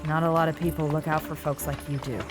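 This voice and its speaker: A woman's voice: sits low, flat delivery, fast, lightly acted. A woman playing a shrewd, world-weary woman in an audio drama, frank and cautioning but guardedly kind. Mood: wary concern. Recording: music under the voice.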